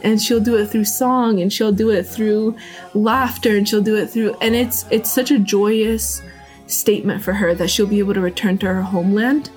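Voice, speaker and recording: A woman from Seattle talks in a casual, animated way with lots of fillers. Her voice sits in the middle range, and faint music plays under her. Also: medium pitch, normal speed. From Seattle, United States. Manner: warm, animated, many fillers like "like". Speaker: interviewee. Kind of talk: casual interview talk.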